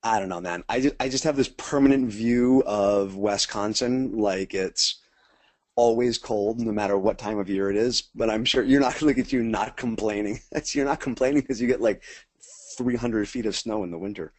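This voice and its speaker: A man's voice: lowish voice, normal speed, darkish tone, no echo. Chatty American man, dry close sound. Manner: informal, chatty, addressing attendees directly. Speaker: sales team trainer hosting a webinar. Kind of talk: casual webinar presentation.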